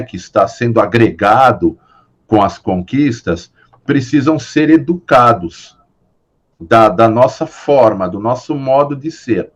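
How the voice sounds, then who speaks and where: Brazilian guy teaching philosophy online, lots of "né?" and "é", kinda muffled lo-fi sound. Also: mid-range voice. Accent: Brazilian Portuguese. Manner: explanatory, many fillers and tag questions. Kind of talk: online class lecture.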